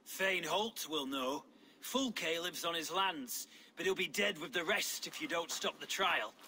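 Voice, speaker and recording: An actor playing a blunt, earthy Saxon rebel leader in a video game, plain-spoken and grimly determined. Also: male voice, on the high side, expressive, unhurried, sharp tone, slight background noise, heavily acted. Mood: wary.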